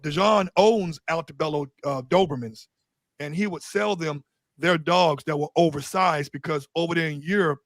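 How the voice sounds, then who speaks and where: Slangy, storytelling man, high-pitched. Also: moderate pace, no room sound. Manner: loose, streetwise storytelling with blunt slang. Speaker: interview guest, Doberman breeder. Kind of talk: casual conversational interview.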